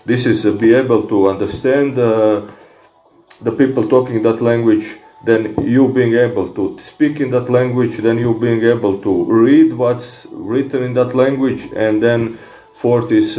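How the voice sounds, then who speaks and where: Slow, halting Croatian-accented man. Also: mid-range voice, fairly even intonation, warm tone, reverberant, soft background music. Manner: halting, many fillers and restarts. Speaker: fitness vlogger. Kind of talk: casual talk answering comments.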